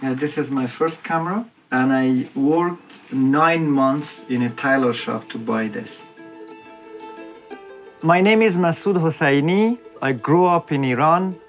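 A man in his thirties with an Afghan accent, a photojournalist speaking reflectively and haltingly in a documentary interview, in an indoor room. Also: a little high, soft background music.

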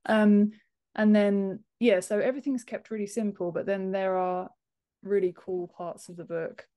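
Female, 30s, mid-range pitch, informal interviewee on a video-call podcast. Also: fairly even intonation, moderate pace, warm tone. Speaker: podcast guest, author and book formatter. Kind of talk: casual interview chat. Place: home office room, indoors, on a video call.

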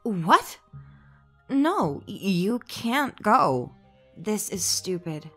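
A woman's voice: medium pitch, big pitch swings, very slow, slightly rough, soft background music, heavily acted. A woman voicing a grumpy, bossy female alien in a fan comic dub, talking in a curt, dismissive way. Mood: impatient.

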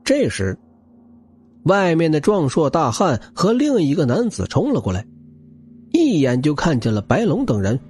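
Man, dramatic novel narration, slightly high pitch, husky, background music. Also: huge pitch swings, moderate pace, a little mellow. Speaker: audiobook narrator.

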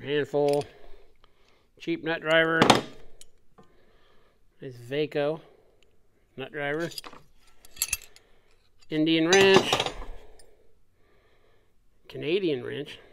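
A man's voice: on the high side, very slow, long pauses, heavy background noise. A man chatting casually to camera in his workshop, naming tools as he unpacks a box. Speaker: machine shop owner showing a tool haul. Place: workshop bench, indoors.

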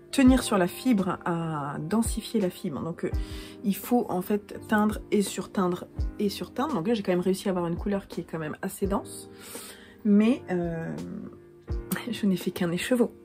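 A woman in her thirties talks straight to a close camera in a small room at home, nasal from a cold. Her voice sits at an ordinary middle pitch, and she rambles along casually with plenty of filler and little jokes at her own expense. Faint music plays underneath. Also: darkish tone. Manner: chatty and self-mocking, many hesitations. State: has a cold. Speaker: knitting vlogger and yarn dyer.

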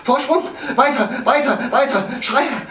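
A man's voice: extremely high pitch, unhurried, bright, forceful tone, pitched above the performer's own voice, exaggerated voice. An actor playing a terrified young man fleeing attackers, speaking in breathless, clipped bursts of inner monologue. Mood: frantic. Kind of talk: stage monologue. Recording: echoey stage sound from far off, faint ambience.

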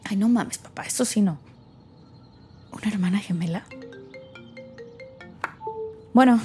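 A resentful young Mexican woman played by an actress mutters to herself in a hesitant, sarcastic audio drama monologue. Her voice sits at an ordinary middle pitch. Music runs clearly behind her. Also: moderate pace, warm tone, lightly acted. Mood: incredulous. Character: wry, resentful young woman recording her thoughts alone. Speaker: lead actor in audio drama.

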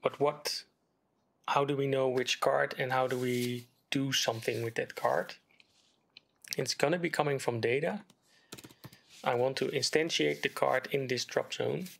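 A man giving a screen-recorded coding tutorial, explaining step by step in a relaxed way while he types. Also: medium pitch, unhurried, vocal fry, long pauses. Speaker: game development tutor. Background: keyboard typing and mouse clicks.

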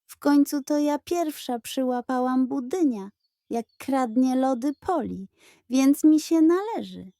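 A woman's voice: high voice, animated, a little slow, mellow, no room sound, studio quality, pitched above the performer's own voice. A woman voicing a bossy, boisterous female farm animal in a children's audio story. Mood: demanding.

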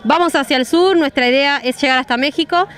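A woman's voice: high-pitched, brisk, fairly bright tone. A young woman from Monte Grande with a Buenos Aires Spanish accent, explaining her van travels in an upbeat street interview on a handheld mic. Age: young adult. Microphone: handheld reporter mic.

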